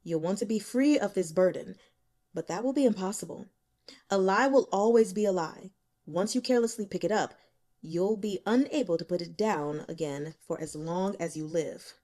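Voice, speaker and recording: Woman reading as a measured, probing male investigator. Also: medium pitch, warm tone, lightly acted. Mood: stern warning. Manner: measured, insistent, interrogating. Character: probing, stern male investigator boss, stubbled and overworked.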